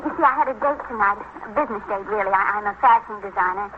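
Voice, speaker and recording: A woman's voice: mid-range voice, fast, close to an everyday voice. An actress playing a polite, flustered young single woman with a hesitant delivery in a radio drama. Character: earnest single woman fashion designer, flustered, sympathetic. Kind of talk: 1950s radio drama acting. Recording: muffled, noisy old radio recording.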